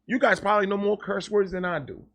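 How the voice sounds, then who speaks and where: A man talking casually and off the cuff to his viewers, excitable and rambling, often repeating and stammering over words, with slangy, sweary phrasing. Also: sits high, fast, gravelly. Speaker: online video creator and host. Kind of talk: casual unscripted vlog talk to viewers.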